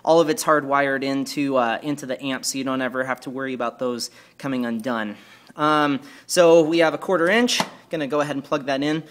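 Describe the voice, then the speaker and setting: A man presenting equipment in an informal hands-on walkthrough, speaking hesitantly and pausing mid-sentence. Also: mid-range voice, steady tone, a bit bright. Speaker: presenter demonstrating church sound equipment. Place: carpeted indoor room. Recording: clear background noise, handheld camera mic.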